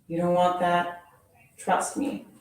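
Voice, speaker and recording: A woman teacher reading a children's picture book aloud in a playful, expressive storytelling manner, adding little sound effects. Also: fairly low pitch, slow pace, a little hiss or hum. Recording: very echoey room from far off.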